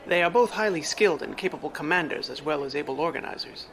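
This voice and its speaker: A man playing a formal, analytical aide in a science fiction audio drama, speaking in exact, measured phrases. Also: on the high side, fairly bright tone, rough recording. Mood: calm, analytical. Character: formal, precise, analytical male aide to a clan leader. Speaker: adviser to the clan leader.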